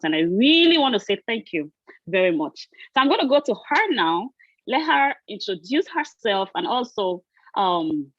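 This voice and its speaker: A woman's voice: medium pitch, no echo. Woman host with a dull, dry sound. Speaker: host interviewer. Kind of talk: livestream interview questions.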